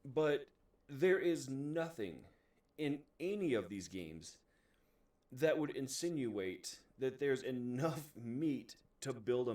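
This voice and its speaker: A man's voice: medium pitch, darkish tone, distant mic. A man hosting a video in a casual, opinionated ranting style, emphatic, exasperated and heavy on profanity. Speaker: video host reviewing movies. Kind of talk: casual opinionated rant to camera.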